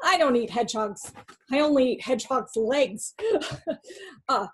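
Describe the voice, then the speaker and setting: A dopey, greedy animal from a children's stage play, acted by a woman. The voice is high and drawn out, slow to get going. It whines and hesitates in an exaggerated way. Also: a little hiss or hum, natural voice. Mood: gleeful teasing. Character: gluttonous, dopey animal who jokes about eating friends. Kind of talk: amateur children's play acting.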